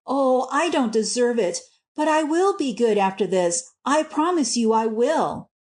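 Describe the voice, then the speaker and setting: A woman's voice: fairly high pitch, lively intonation, measured pace, a little mellow. A woman voicing a tearful, penitent young wife in a storybook, pleading with her husband.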